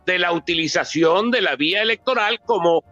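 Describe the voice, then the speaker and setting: A man's voice: sits high, a little fast, pushed and bright, dry recording, highs cut off. A Venezuelan man giving emphatic, oratorical political commentary off the cuff, building his points with lists and repetition. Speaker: political analyst and author speaking at a book presentation. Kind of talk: impromptu political commentary answering a question.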